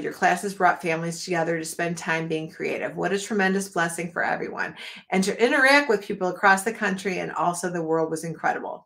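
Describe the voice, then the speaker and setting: A woman's voice: on the low side, gravelly, fairly bright tone. A middle-aged woman chatting casually with viewers on a live art stream, rambling and talking straight to them, losing her voice a little. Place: home art studio, indoors.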